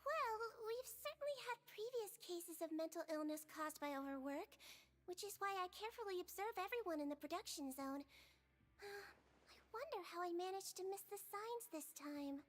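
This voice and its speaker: A caring, girlish head nurse from a video game. The voice is extremely high and small. The manner is polite and reassuring, like a gentle bedside check-up. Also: animated, moderate pace. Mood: concerned. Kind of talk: video game character acting.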